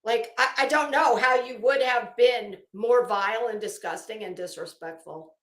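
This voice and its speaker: A woman's voice: on the high side, moderate pace, a bit bright, reverberant. A woman delivering an unscripted, opinionated commentary rant as an online host, sarcastic and taunting, with theatrical emphasis.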